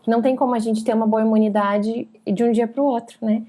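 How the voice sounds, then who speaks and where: Lady, voice a bit high, effusive and welcoming, hosting a chatty live. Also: steady tone, fast talker, dark tone. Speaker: live-stream host. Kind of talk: casual live-stream interview.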